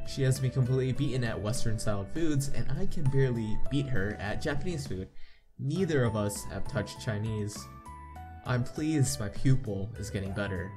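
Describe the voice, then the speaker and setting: A man hosting a visual novel playthrough, reading the on-screen story aloud and commenting casually. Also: on the low side. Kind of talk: casual game narration, reading text aloud. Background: game background music.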